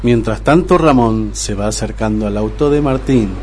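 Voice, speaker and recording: A man's voice: on the low side, moderate pace, noisy background. An Argentine man reading scripted narration for a radio play. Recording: clear music bed underneath.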